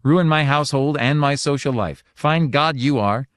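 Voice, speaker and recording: A man's voice: medium pitch, moderate pace, no echo, pitched above the performer's own voice, close to an everyday voice. A man voicing a nervous young husband who pleads and frets, read dry and close. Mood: gloomy. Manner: nervous, imploring.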